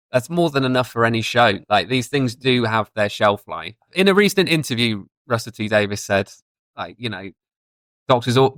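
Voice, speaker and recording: A man's voice: lowish voice, a bit bright, no room sound. A man in his thirties chatting casually and giving his opinions as a podcast guest. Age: 30s.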